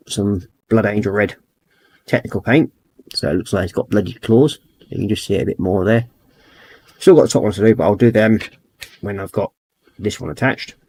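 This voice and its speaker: Man, croaky throat, easygoing rambling host, lowish voice, dry close sound. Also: a little mellow, no room sound. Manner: easygoing, rambling, reads out chat messages. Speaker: livestream host. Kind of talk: casual livestream chat while painting models.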